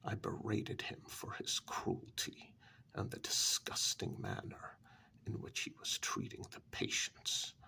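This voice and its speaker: A man playing a formal, compassionate asylum staffer in a soft-spoken ASMR story, speaking in a breathy, creaky half-whisper with measured, deliberate pauses. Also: deep voice, slight background noise, heavily acted.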